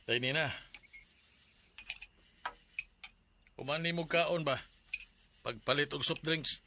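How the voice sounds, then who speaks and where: A man's voice: on the high side, moderate pace, background noise, lightly acted. A man acting a stubborn Cebuano father in his sixties who narrates his own story in a radio drama. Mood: casual, dismissive. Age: 60s. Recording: music bed behind the voice.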